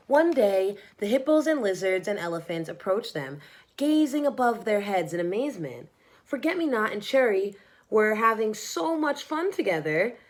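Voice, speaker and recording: Woman, storybook reader, scripted children's narration, expressive, animated intonation. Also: medium pitch.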